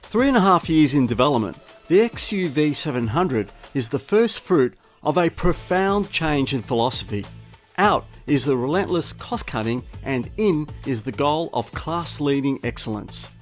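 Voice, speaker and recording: A man narrates a scripted car review. His voice sits a little high and moves with very animated intonation, while music plays softly behind him. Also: normal speed. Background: music bed.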